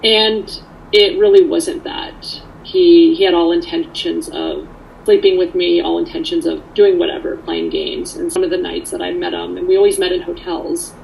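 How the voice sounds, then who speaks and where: A woman's voice: on the low side, steady tone, moderate pace, vocal fry, darkish tone, a little hiss or hum, rough recording. A woman from Canada, born in Poland, giving candid, earnest personal testimony in a conversational way. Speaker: speaker sharing her recovery story.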